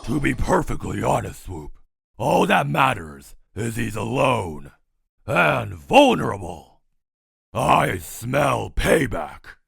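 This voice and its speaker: A man voicing a furious, vengeful male robot dinosaur leader in a comic dub, talking loud, growling and aggressive. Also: mid-range voice, very animated, takes it slowly, gravelly, slightly rough, no room sound, studio quality, full character voice. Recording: dramatic music underneath.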